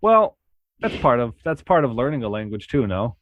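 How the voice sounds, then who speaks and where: A man hosting a casual podcast chat, relaxed and heavy on filler words. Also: moderate pace, dry recording, dull audio. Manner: relaxed, lots of "like" fillers, rises in pitch when excited. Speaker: podcast host.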